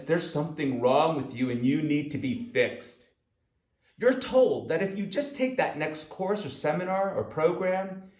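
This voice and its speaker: A man's voice: fairly high pitch, a little mellow. A man reading his own self-help audiobook, speaking emphatically and directly to the listener. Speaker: author narrating own audiobook. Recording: echoey room sound.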